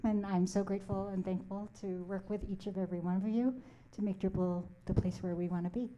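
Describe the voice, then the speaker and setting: A woman's voice: medium pitch, fairly even intonation, a little fast, warm tone, dull audio. A woman from the Philippines whose first language is Tagalog, speaking on a conference panel in an earnest, encouraging way with many "like"s.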